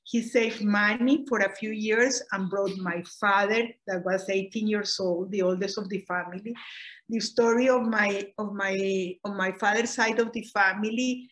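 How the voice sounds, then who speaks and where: A woman's voice: mid-range voice, fairly even intonation, fairly bright tone, poor audio. Middle-aged Costa Rican woman recalling family history. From Costa Rica. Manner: unhurried, frequent "eh" hesitations and self-corrections. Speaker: oral history interviewee. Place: home room, indoors. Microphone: video call webcam.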